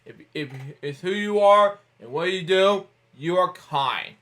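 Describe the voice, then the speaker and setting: A man hosting an informal bedtime story read-aloud, speaking in a halting, repetitive way with frequent interjections and asides. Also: high-pitched, animated, fairly bright tone.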